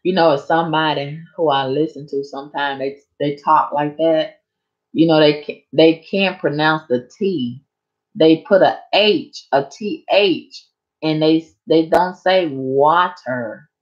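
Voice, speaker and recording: Woman live streamer, slow, rambling, hoarse, dull lo-fi audio. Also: sits low. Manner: rambling, chatty, addresses viewers directly. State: hoarse from long talking. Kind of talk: casual live stream chat.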